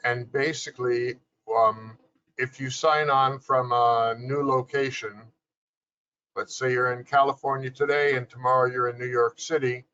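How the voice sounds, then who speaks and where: Man, unhurried and bright-toned, patient tech explainer over a dull, lo-fi call line. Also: medium pitch, steady tone, clear, resonant tone. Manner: measured, explanatory, with many fillers. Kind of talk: detailed technical explanation on a video call. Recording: dull lo-fi video call audio.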